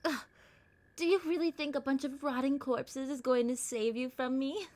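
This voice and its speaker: Woman playing a slow-talking, haughty vampire girl. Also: fairly high pitch. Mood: disgusted, haughty. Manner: condescending, teasing, slow hypnotic murmurs and whispers. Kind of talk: ASMR roleplay.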